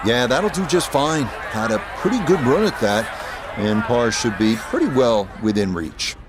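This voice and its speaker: A man doing a calm golf game commentator. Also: medium pitch, noisy background, lightly acted. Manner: calm, measured play-by-play. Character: calm male golf play-by-play announcer. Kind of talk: scripted sports commentary. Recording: game audio with music and crowd.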